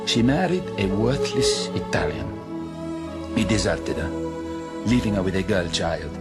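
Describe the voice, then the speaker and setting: A man acting in a radio drama as a grave, anguished crime-boss father, speaking in a measured, formal way. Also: sits low. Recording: orchestral music under the voice.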